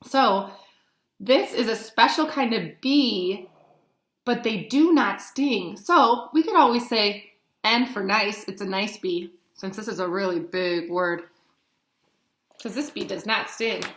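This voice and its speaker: A woman in her thirties reading a picture book aloud as a teacher, animated and explaining things simply to young children. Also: medium pitch, slow pace. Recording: roomy echo.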